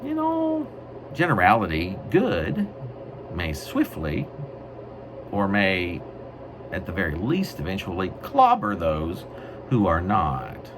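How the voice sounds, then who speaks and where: A man reading his own poetry in a wry, mock-grandiose way, with deliberate, literary phrasing. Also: low-pitched, huge pitch swings. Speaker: poet reading own work. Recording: noisy amateur recording.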